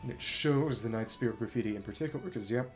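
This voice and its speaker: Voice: mid-range voice, fast, faint music behind. A video game streamer giving casual live commentary, thinking aloud and trailing off mid-thought.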